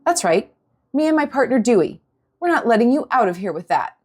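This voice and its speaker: Audiobook heroine, earnest, flustered but defiant, pitched high, performed by a female narrator. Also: very animated, a little fast, darkish tone. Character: plucky, flustered young adventurous heroine.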